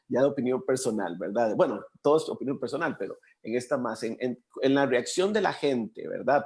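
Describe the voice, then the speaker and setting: A man with a Costa Rican accent hosting an interview, talking in a reflective, halting way as he searches for words. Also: medium pitch, vocal fry, darkish tone. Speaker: interview host. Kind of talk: casual interview conversation.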